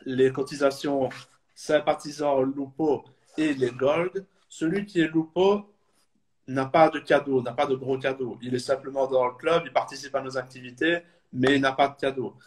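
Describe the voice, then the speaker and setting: Man in his thirties from Belgium; halting, filler-heavy, mid pitch. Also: fairly even intonation, rough recording. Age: 30s. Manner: hesitant, many fillers and restarts. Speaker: supporters club guest. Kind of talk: casual video call interview.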